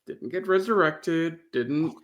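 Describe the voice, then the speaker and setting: Man, slightly raised pitch, podcast co-host, casual fan chat. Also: lively intonation, slow, lo-fi sound.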